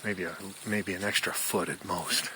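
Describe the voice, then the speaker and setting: A man with a fairly low voice gives a casual, folksy walk-through in the manner of a hobbyist. He rambles as he explains, speaking into a handheld camera outdoors. The recording carries clear background noise. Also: fairly even intonation, moderate pace. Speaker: amateur radio hobbyist. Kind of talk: casual handheld video tour. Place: outdoors in wooded mountain country. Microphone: handheld camera microphone. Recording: noisy outdoor camera audio.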